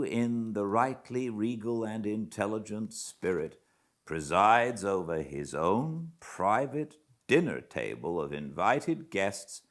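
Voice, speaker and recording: A British man narrates classic literature in a low-pitched voice that swings widely in melody. He takes his time, phrasing each line deliberately and theatrically, with dramatic pauses. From Britain. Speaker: audiobook narrator. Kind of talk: expressive literary audiobook narration.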